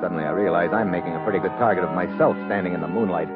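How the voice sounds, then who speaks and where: Deep male voice, acted, hard-boiled agent, wry clipped delivery, old radio drama, muffled recording with music. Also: racing, lightly acted. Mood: tense. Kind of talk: 1950s radio drama acting and narration.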